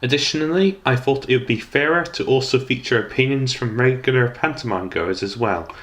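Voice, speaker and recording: A man's voice: mid-range voice, a bit bright. A young man reading a scripted, reflective commentary in formal wording, stumbling now and then as he reads. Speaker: student documentary maker.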